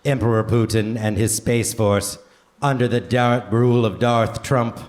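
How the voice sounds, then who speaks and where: A man's voice: medium pitch, fairly even intonation, a little slow, slight background noise. A man announcing and narrating a live radio show in a grand, theatrical, mock old-time style. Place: live comedy theater stage before audience.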